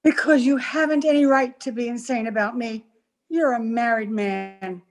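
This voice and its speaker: A woman playing a scheming, ambitious young farm girl in an old-time radio melodrama, taunting and deliberate. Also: on the high side, close to an everyday voice. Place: remote recording over Zoom.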